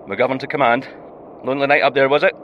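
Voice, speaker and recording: A man's voice: mid-range voice, fairly even intonation, fast, muffled recording, a little hiss or hum, lightly acted. A man playing a wry, homesick Scottish astronaut and diver in a naturalistic audio drama, talking colloquially. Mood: playful banter. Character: homesick, joking Scottish astronaut and deep-sea diver, male.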